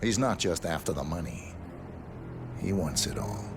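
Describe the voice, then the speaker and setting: Very deep, stoic male assassin. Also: lively intonation, unhurried, gravelly, over music, heavily acted. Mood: guarded, cold. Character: cold, stoic male assassin of few words. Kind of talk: video game cutscene acting.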